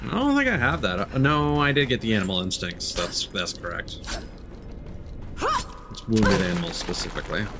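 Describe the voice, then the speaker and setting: A man's voice: lowish voice, very animated, normal speed, noisy background. A man who streams games, thinking aloud in casual, conversational live commentary while he plays. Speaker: game streamer.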